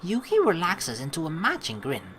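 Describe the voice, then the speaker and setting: A woman's voice: deep voice, huge pitch swings, moderate pace, a bit bright, slight background noise. A woman hosting a game playthrough, reading text aloud and commenting in a rambling, casually sweary way. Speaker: let's play host. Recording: game music behind the voice.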